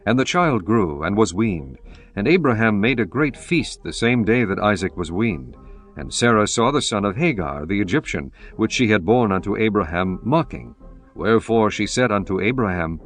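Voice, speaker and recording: A man's voice: lowish voice, expressive, normal speed, soft background music. A man narrating a scripture audiobook in a solemn, formal manner, reading archaic text as a scripted performance.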